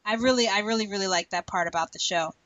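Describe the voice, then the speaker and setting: A woman's voice: medium pitch, quick pace, fairly bright tone, no room sound, dull audio. A woman co-hosting a podcast, chatting casually and emphatically about a TV show, repeating words for stress. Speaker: podcast co-host.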